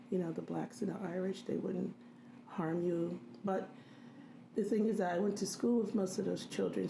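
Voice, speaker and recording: Middle-aged woman, halting memories, low pitch, kinda gravelly. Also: background noise. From grew up in a US city in a Haitian immigrant family. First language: Haitian Creole. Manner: halting, many ums and restarts. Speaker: interviewee, registered nurse. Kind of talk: reflective interview recollection.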